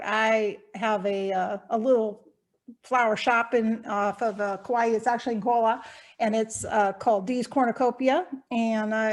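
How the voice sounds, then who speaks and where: A woman voicing a proud, eccentric old woman, rambling folksily through her stories. She has a light Southern country twang and a slightly high voice. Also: natural voice. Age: 70s. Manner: folksy, chatty, laughs often, rambling. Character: spunky eccentric old Hawaiian-born woman, eighty, proud. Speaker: fictional character being interviewed. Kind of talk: improvised in-character interview.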